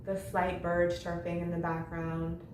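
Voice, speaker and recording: Woman, meditation instructor, lowish voice, gentle phrasing with long pauses, very echoey room, faint background noise. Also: monotone. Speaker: yoga nidra meditation instructor. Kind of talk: guided meditation.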